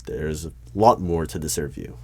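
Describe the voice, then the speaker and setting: A man playing a formal, easily flustered hiring manager in an ASMR roleplay, speaking in measured, halting phrases. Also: very deep voice, mellow, a little hiss or hum. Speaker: performer of a one-sided roleplay. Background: computer keyboard typing.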